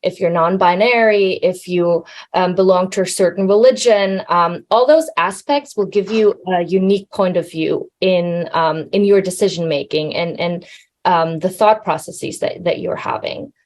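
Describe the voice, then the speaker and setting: A woman's voice: fairly low pitch, moderate pace, darkish tone. A young woman presenting as a guest speaker, earnest and fond of filler sounds. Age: young adult. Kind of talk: presentation talk.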